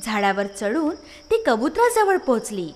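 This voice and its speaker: A woman tells a children's story in an expressive, scripted narration. Her voice is high and swings widely in pitch. It carries a long reverb, with faint music underneath. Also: a little slow.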